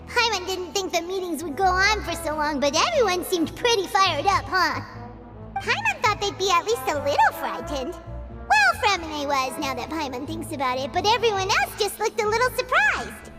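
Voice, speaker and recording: A woman voicing a tiny, excitable, childlike fairy companion in a video game, squeaky and chatty. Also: moderate pace. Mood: chatty, musing. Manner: third-person, exclaiming, chatty. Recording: loud music behind the voice.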